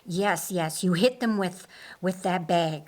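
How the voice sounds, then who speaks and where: Seasoned, commanding uncle for a story reading woman playing him, measured, coaxing, slow-paced. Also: lowish voice, a little mellow. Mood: probing, coaxing.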